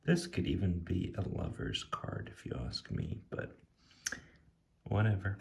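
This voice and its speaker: A man speaking softly and casually in ASMR style, sometimes near a whisper, musing off the cuff as he reviews something. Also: deep voice, sing-song, measured pace, gravelly. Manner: hushed, sometimes dropping to a whisper; casual, laid-back musing. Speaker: tarot deck reviewer. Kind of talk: soft-spoken ASMR, impromptu commentary.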